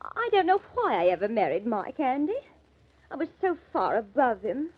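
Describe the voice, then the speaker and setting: A woman's voice: on the high side, big pitch swings, normal speed, husky. An actress playing a nervous, self-justifying social climber, pleading her way through 1950s radio melodrama. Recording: muffled old radio recording.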